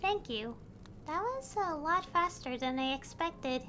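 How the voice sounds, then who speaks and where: A woman's voice: high-pitched, expressive, unhurried, sharp tone, slight background noise, exaggerated voice. An actress playing a curious, thoughtful young female traveler who asks questions and muses to herself. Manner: thoughtful, inquisitive.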